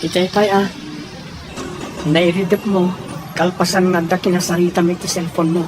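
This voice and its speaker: A man's voice: sits high, little pitch movement, normal speed, lightly acted. Urgent, helpful man voiced by an actor, insistent delivery, audio drama with faint background noise. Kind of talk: Ilocano radio-style audio drama acting.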